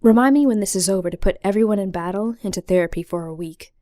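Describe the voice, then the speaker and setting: A woman's voice: mid-range voice, quick pace, mellow, no room sound, clean studio sound, lightly acted. A woman playing a caring but firm healer in an audio drama. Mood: concerned.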